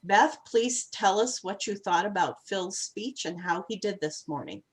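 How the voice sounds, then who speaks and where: A woman's voice: mid-range voice, expressive, a little slow. A woman hosting an online club meeting over a video call, friendly and orderly as she introduces each speaker. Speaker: meeting host.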